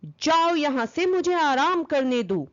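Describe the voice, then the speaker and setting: A woman's voice: sits high, very animated, slow, highs cut off, pitched above the performer's own voice, heavily acted. A woman voicing a tired, irritated male lion in a children's story, curt and wanting to be left to rest.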